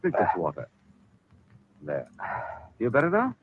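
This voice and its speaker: An actor playing an earnest, polite, worried gentleman with a husky voice in an old-time radio drama. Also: mid-range voice, huge pitch swings, a little slow, slight background noise. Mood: concerned. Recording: dull old radio recording.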